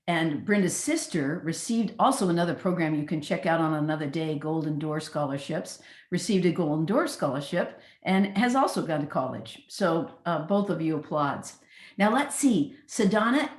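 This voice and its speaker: A woman hosting a webinar on a video call, praising speakers and moving briskly to the next topic. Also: on the low side, animated, echoey room. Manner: warm praise, chatty, brisk transitions. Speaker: host, program leader.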